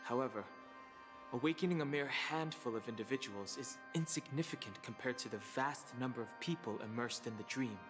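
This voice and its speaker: A man playing a serious, thoughtful and resolute adviser in a video game, measured and formal. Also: mid-range voice, music in the background, lightly acted. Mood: serious, pondering. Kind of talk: video game character acting.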